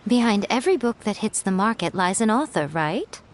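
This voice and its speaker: A woman voicing a sly, playful female schemer in a video game who teases in an unhurried way and chuckles often. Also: medium pitch, sing-song, faint music behind, lightly acted.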